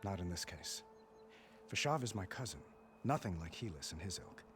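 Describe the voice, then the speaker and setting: Young monarch, video game: low voice, formal, gracious, earnest. Music behind. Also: male voice, sing-song. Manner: formal, courteous, measured. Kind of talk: dramatic video game dialogue.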